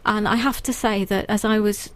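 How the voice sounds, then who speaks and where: A woman's voice: medium pitch, steady tone, moderate pace, fairly bright tone. A woman guest on a radio interview, talking earnestly and thoughtfully about poetry with frequent ums. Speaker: guest poet and writer.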